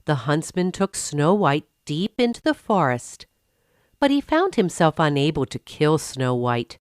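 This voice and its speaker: Woman, children's story narration, slow careful phrasing, animated intonation, dry studio-like sound. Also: low-pitched, smooth, dry recording. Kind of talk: scripted children's fairy-tale narration.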